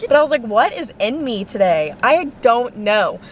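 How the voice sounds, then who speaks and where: A woman's voice: fairly high pitch, very animated, slight background noise. Young woman chatting to camera, casual. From Texas, US. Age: young adult. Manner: chatty, drawn-out, with frequent "like" and some whispered asides. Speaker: vlogger. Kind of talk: casual vlog chat to camera. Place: bedroom at home, then car. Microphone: camera at arm's length.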